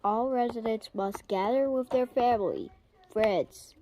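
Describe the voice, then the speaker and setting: A solemn doomsday alert announcer acted by a woman in a homemade emergency broadcast parody. The voice sits a little high and moves slowly, halting and stumbling through the script. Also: noisy background, close to an everyday voice. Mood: grave announcing.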